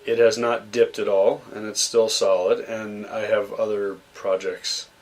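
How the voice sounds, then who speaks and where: A man's voice: on the low side, flat delivery, measured pace, a little mellow. A young man from Massachusetts, a podcast guest, telling stories about his childhood in a loose, rambling way with lots of fillers and restarts. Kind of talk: relaxed conversational storytelling.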